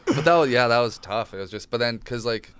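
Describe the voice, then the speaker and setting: A man's voice: mid-range voice, brisk, clean tone. A man in his thirties, a comedian guest, telling rambling stories in casual chat and swearing freely. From Canada. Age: 30s. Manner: rambling, animated, swears often. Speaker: stand-up comedian, podcast guest.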